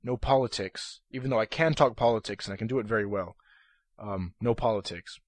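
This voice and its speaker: A young man with a fairly low, slightly hoarse voice rambles through a solo podcast in an even, monotonous way. He stumbles, restarts, and leans on fillers. The sound is dry and close, with no room ring. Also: brisk, no echo. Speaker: podcast host. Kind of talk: casual solo podcast talk. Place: room at his computer.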